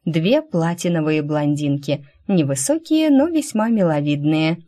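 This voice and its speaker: A woman narrating a first-person fantasy novel as an audiobook, in an expressive scripted reading style. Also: sits low, a little slow.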